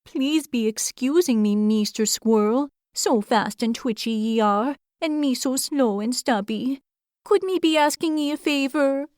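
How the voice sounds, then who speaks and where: A woman's voice: sits high, measured pace, darkish tone, no echo, studio quality, pitched above the performer's own voice. A woman voicing a shy, meek little male hedgehog who talks in quaint, broken grammar. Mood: timid.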